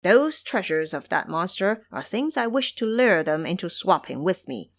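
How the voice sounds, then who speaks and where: A woman's voice: on the low side, sing-song, moderate pace. A woman voicing a boastful, crafty male monkey trickster in an audio storybook. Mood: commanding.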